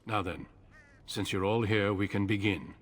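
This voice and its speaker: An actor playing a grave, authoritative male commander in a video game, speaking in a measured, formal way. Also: on the low side, natural voice. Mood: grave, instructing.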